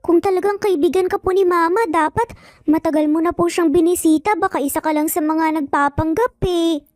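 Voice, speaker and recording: A woman's voice: very high voice, fairly even intonation, a little fast, a little mellow, no room sound, clean studio sound, soft background music, voice pushed up. A woman with a Filipino accent playing a suspicious, cheeky six-year-old child in a narrated story. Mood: accusing. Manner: sassy, challenging, polite particles.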